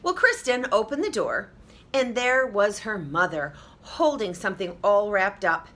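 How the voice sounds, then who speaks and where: Woman teacher, bright voice, very animated pitch, expressive children's read-aloud, emphatic, talks to young listeners. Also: a little slow, a little hiss or hum.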